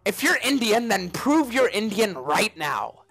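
A woman putting on a giggling, unhinged male clown villain voice, with shrill cackles and sudden shouts, pranking strangers in a voice chat. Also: very animated, unhurried, vocal fry, faint music behind, heavily acted. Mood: mocking.

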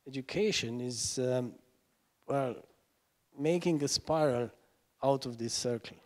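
A middle-aged man moderating a seminar panel off the cuff, halting and deliberate as he searches for words. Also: mid-range voice. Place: lecture hall stage, seated on a panel. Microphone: handheld microphone.

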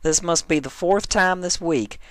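A man's voice: on the high side, measured pace, a bit bright, no echo, pitched above the performer's own voice. A man voicing a confident, teasing female farm pony with a folksy manner in a story reading.

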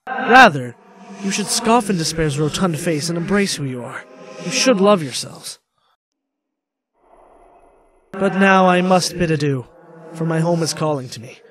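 A man playing a genteel, grateful gentleman ghost in a game dub, speaking in florid, formal, theatrical phrases. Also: fairly high pitch, expressive, moderate pace, a crowd in the background, natural voice. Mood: grand farewell.

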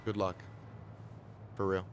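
An easygoing, supportive young man in a video game drama. His lowish voice is casual and natural. Clear ambient and vehicle noise sits behind him. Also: monotone, slow pace.

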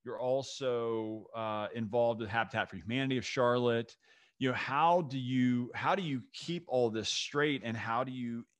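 A man's voice: medium pitch, no room sound, studio quality. A man in his thirties from North Carolina, hosting a video-call interview in an eager, effusive way, often repeating words. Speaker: podcast host. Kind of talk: enthusiastic interview chat. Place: home office with bookshelves. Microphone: studio mic on boom arm, video call.

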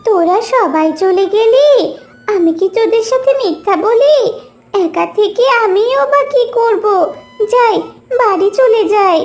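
A performer voicing a small, childlike cartoon character, earnest and squeaky, in a children's story. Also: animated, unhurried. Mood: chatty, earnest. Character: small friendly lonely child ghost and excitable young animal friend.

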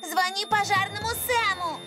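Very high-pitched little girl. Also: normal speed, music in the background. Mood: eager. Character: frank, earnest little girl. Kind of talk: animated cartoon dub.

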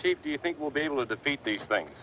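Actor as earnest American field reporter, muffled track. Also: male voice, fairly high pitch, animated, very fast, lightly acted. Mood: earnest, inquiring. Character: earnest male TV field reporter questioning a sheriff. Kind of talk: on-location news interview. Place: outdoors. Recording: dull, noisy old outdoor film sound.

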